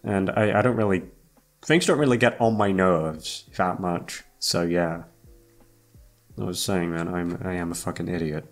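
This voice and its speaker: A young man with a fairly low voice rambles casually to his audience over background music. He hesitates, doubles back and puts himself down, with plenty of swearing. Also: normal speed. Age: young adult. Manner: hesitant, self-deprecating, sweary, trails off. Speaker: YouTube voice actor and channel host. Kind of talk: casual rambling chat to listeners. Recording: music playing behind the voice.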